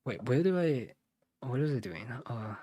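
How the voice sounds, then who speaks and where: A man's voice: medium pitch, big pitch swings, moderate pace, warm tone, no room sound. A man from the United States working through math questions live, thinking aloud, muttering and correcting himself as he goes. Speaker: SAT tutor streaming live.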